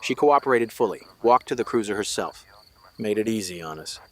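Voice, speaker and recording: A tired, guarded male small-town cop played by an actor in a noir drama. His voice is on the low side and he speaks in clipped, official phrases with understated delivery. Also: animated, fairly quick, natural voice. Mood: resigned. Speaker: police officer. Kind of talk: scripted noir audio drama dialogue.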